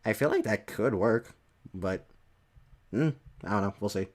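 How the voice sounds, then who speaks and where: Male podcast host, lowish voice, informal, halting delivery, unscripted chat. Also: lively intonation. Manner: hesitant, trailing off, swears casually. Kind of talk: casual podcast chat, reading from a list.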